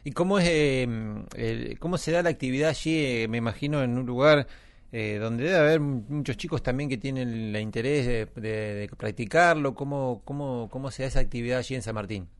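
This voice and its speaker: Hesitant Argentine radio co-host, dry sound. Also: male voice, mid-range voice, fairly quick, no room sound. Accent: Argentine Spanish. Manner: hesitant questions with "eh" fillers. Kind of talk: live radio interviewing.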